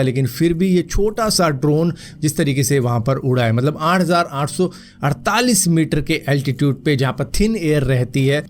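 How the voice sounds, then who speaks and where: A man's voice: medium pitch, a little mellow, slight background noise. A man hosting a news roundup, explaining stories in an informal, conversational way and addressing viewers as friends. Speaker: host of a news roundup show.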